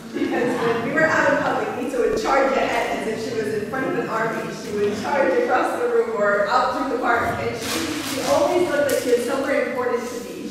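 A woman's voice: on the high side, recorded from a distance, noisy background. Heartfelt mother in an echoey hall. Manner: heartfelt, halting, with restarts. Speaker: mother of the bat mitzvah girl. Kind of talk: speech at a religious ceremony. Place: small synagogue hall with a seated congregation. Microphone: far room camera.

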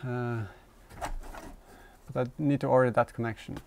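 A man in his thirties giving casual, halting hands-on commentary as a vlog host, thinking aloud while he works. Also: medium pitch, little pitch movement, gravelly. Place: inside a car in a workshop.

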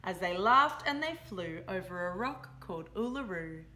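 A young woman reads a picture book aloud to children in an expressive, emphatic storytelling style. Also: on the low side, moderate pace, slight background noise. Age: young adult. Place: small indoor room by a window.

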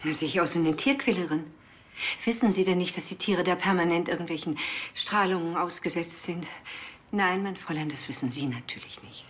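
A haughty woman played by an actress fussing as a dog owner in a TV crime comedy. Her voice sits fairly low and she talks in a condescending way, with faint noise behind her. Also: a little fast, natural voice. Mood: indignant. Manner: indignant, condescending.

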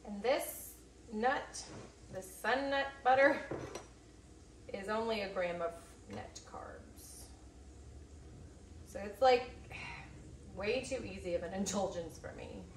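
A woman's voice: medium pitch, slow, pauses often. A young woman chatting casually while cooking lunch in her home kitchen, with self-mocking asides. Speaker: vlogger. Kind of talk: casual cooking vlog chat. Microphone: camera mic from a few feet away. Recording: echoey kitchen, faint cooking noise.

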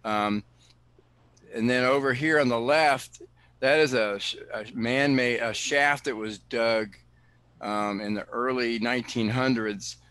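A man, director of a cave preserve, answering questions on a video call in an explanatory way, often pausing with fillers and restarting sentences. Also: medium pitch, steady tone, fairly bright tone, clear, resonant tone, dry recording. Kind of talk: answering questions in an online talk.

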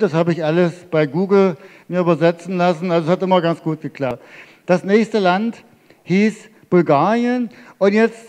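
A man's voice: high-pitched, a little mellow. A middle-aged man from Germany giving a chatty, explanatory travel slideshow talk to a live audience, speaking into a handheld microphone in a large theatre hall. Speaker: travel lecturer presenting his cycling slideshow. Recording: reverberant hall.

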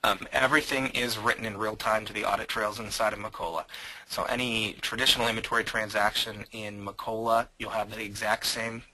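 A man presenting software in a webinar, answering audience questions with frequent hesitations. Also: on the low side, level tone, fairly quick, dry recording, low-quality recording. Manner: hesitant, many um fillers.

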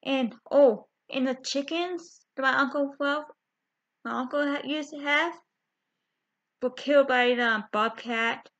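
A young woman chatting to her webcam from a small bedroom, halting, with many fillers and restarts. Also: sits high, fairly bright tone. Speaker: vlogger. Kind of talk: casual chat to camera. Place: small bedroom with window blinds. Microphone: webcam at close range. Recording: dull lo-fi webcam audio.

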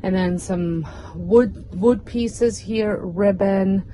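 A woman's voice: medium pitch, drawn-out words, warm tone, a little hiss or hum. A middle-aged woman giving a casual, rambling walkthrough of her basement craft room, full of fillers, recorded on a phone camera. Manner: chatty, rambling, with frequent fillers and restarts. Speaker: home organizing vlogger. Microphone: phone camera, handheld and on a stand.

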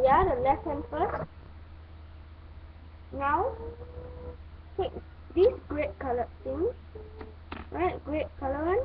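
Woman doing a toy building how-to, halting and slow, tinny phone-like sound w background noise. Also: high-pitched. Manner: halting step-by-step instructions. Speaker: toy review host. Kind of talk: hands-on toy building tutorial. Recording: muffled phone-like audio, clear background noise.

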